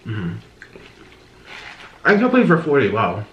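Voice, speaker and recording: A man's voice: mid-range voice, wildly swooping pitch, a little slow, dull audio. A man in his twenties hosting a casual chat, talking in an enthusiastic, gushing way. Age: 20s. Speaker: host. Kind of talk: casual chat over a meal. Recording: echoey room, faint background noise.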